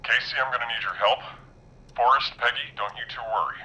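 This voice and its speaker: A man playing a gruff, no-nonsense former war medic in a video game, heard over a telephone. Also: medium pitch, fairly quick, bright, forceful tone. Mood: resolute, businesslike. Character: gruff, grumpy but dutiful male former war medic. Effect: telephone line. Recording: noisy telephone line.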